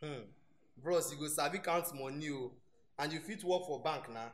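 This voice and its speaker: A man's voice: on the high side, moderate pace, close to an everyday voice. A young man with a Nigerian accent playing an eager, timid university fresher in a radio drama. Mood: casual, friendly. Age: young adult. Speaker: actor.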